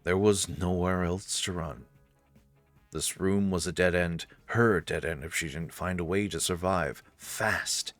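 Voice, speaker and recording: Deep-voiced man narrating a story. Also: measured pace, no echo. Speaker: narrator of a visual novel playthrough. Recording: soft music behind the voice.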